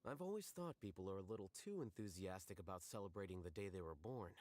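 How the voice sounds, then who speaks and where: A man voicing an aloof young male scholar in a video game, blunt and deadpan, with precise, dryly formal delivery. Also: low voice, fast talker, no echo. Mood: dry, matter-of-fact. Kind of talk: scripted video game voice lines.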